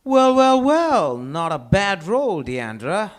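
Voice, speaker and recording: A man's voice: high-pitched, big pitch swings, takes it slowly, full character voice. A man acting a smug, argumentative schemer in a live comedy table read, with a mocking, emphatic delivery. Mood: smug taunting. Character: smug, argumentative, taunting male bar-owner schemer.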